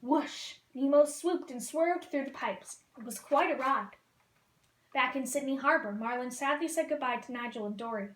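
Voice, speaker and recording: A woman reading a children's picture book aloud as a costumed storyteller, in a lively, expressive way. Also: high-pitched, moderate pace, a little hiss or hum. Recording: roomy echo.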